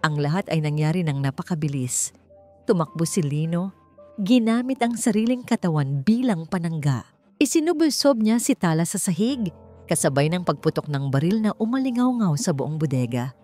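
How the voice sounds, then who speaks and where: A woman's voice: lowish voice, expressive, normal speed, warm tone. A woman reading a scripted love story as a dramatic narrator. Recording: music behind the voice. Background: background music.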